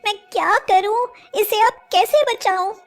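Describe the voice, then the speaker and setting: A woman's voice: very high voice, a little slow, soft background music, voice pushed up. A woman voicing an anxious young woman in a fairy tale, urgent and fretful. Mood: worried, urgent.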